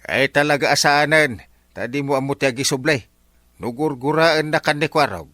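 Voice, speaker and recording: A man's voice: a little high, moderate pace, bright, forceful tone, dry recording, studio quality. A man with an Ilocano accent playing a reproachful friend fed up with a borrower, in a colloquial radio drama.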